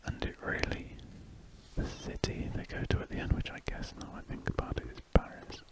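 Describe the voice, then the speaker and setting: Breathy whispering British man. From United Kingdom. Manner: rambling, hesitant, with trailing pauses. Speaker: ASMR artist narrating while drawing. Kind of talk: whispered ASMR ramble. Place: indoors at a desk, drawing. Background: pen scratching on paper.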